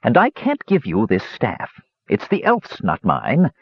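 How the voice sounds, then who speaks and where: A man's voice: mid-range voice, huge pitch swings, unhurried, slightly rough, highs cut off, exaggerated voice. A man voicing a crotchety old male dwarf hermit who talks stubbornly and curtly. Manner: stubborn, curt.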